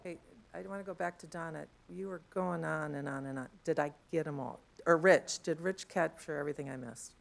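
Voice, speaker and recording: A woman with a deep, low voice talks to a conference room through a handheld mic. She is chatty and loose, with frequent fillers and questions tagged onto her points. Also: a little fast, gravelly. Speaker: conference panel speaker. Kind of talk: conference panel talk.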